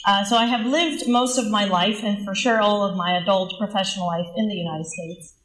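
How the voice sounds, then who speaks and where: A woman's voice: medium pitch, fairly even intonation, brisk, a bit bright. Woman, forties, from the Dominican Republic, speaking earnestly to a room; mid-range voice, echoey space. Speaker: nonprofit leader. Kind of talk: presentation talk.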